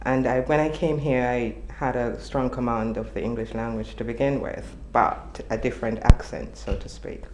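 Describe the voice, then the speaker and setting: A woman with a Jamaican accent answering interview questions in a measured, thoughtful way. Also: very deep voice, steady tone, a little mellow. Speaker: journalism student interviewee. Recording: echoey room with faint noise.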